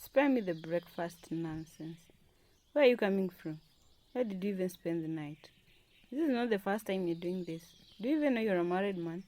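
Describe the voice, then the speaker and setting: A woman playing an indignant wife and demanding boss in a radio drama, reproachful and sharp-tongued. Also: fairly low pitch, animated, normal speed, lightly acted. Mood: angry, accusing.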